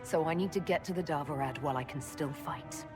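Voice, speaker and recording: A woman's voice: sits low, brisk, lightly acted. An actress playing a weary but resolute woman leader in a fantasy video game, sober and decisive. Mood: grim, resolute. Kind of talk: dramatic video game acting. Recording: music under the voice.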